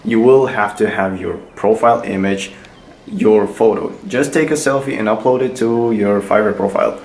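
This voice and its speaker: Young man, low voice, loose rambling vlog delivery, roomy echo. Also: moderate pace, darkish tone. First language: Kazakh. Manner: rambling, hesitant, many fillers and restarts. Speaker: vlogger. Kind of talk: casual tutorial vlog. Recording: echoey room with faint music.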